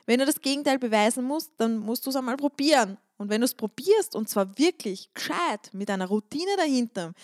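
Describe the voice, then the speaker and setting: A woman's voice: high-pitched, fairly bright tone, no echo, clean studio sound. A woman hosting a solo podcast on stretching, talking in a chatty, emphatic way and repeating words to stress a point. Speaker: podcast host and stretching coach. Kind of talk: casual solo podcast monologue.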